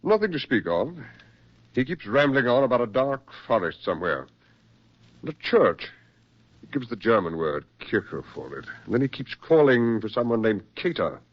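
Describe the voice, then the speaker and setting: Genteel army psychiatrist, very animated low voice, insistent, vintage radio play, muffled. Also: male voice, moderate pace. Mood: grave, weary concern. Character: brisk, persuasive male military psychiatrist. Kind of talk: 1940s radio acting. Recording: muffled 1940s radio recording.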